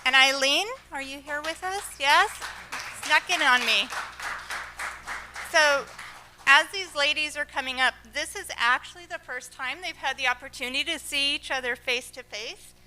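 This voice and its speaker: A woman teacher presenting students at a board meeting, projecting her voice loudly and upbeat. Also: high voice, moderate pace, noisy background. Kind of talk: ceremony presentation. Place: school board meeting room.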